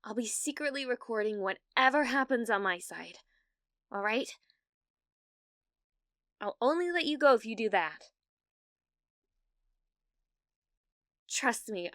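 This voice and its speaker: ASMR roleplay: actress as obsessive young British female gamer, higher-pitched, halting and nervous, very dry sound. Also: a bit bright, dry recording. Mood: wary, determined. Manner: halting, flustered, breathy laughs and hesitations.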